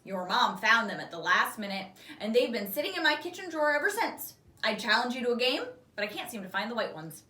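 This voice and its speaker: A woman reading aloud plays an old grandmother from a storybook, kindly and chatty. Her pitch lifts and dips in an animated way. The room echoes around the slightly distant voice. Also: fairly quick. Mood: fond. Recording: roomy echo, distant microphone.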